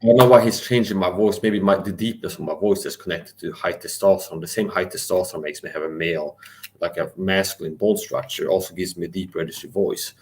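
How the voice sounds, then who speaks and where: Angry, very deep-voiced man ranting. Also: fairly even intonation, fairly quick. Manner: angry, defiant, profane, repetitive; addresses listeners directly and challenges them. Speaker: livestreamer. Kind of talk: ranting live monologue.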